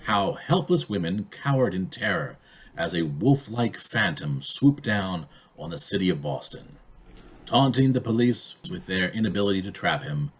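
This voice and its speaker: A man reads as an old-time radio drama announcer. His voice is fairly low and husky, with formal, theatrical phrasing. The pitch rises and falls dramatically.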